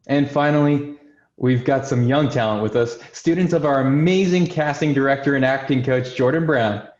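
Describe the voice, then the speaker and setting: A man's voice: mid-range voice, normal speed, darkish tone. A man hosting a table read, giving scripted introductions and reading screenplay stage directions. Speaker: host and stage-direction narrator. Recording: roomy, dull lo-fi sound.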